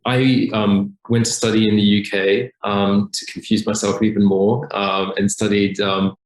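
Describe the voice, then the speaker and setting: A man from Australia, a company founder, talking casually as a podcast guest over a remote call, relaxed and full of filler ums. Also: on the low side, a little slow. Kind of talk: casual interview talk. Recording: echoey room.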